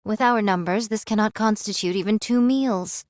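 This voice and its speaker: A woman's voice: mid-range voice, moderate pace, a little mellow, no echo, studio quality, close to an everyday voice. A woman voicing a wry android swordswoman in a game, with formal, archaic, theatrical diction. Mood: dismayed. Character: archaic-speaking android swordswoman, wry, fond of food and drink. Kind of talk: anime-style game voice acting.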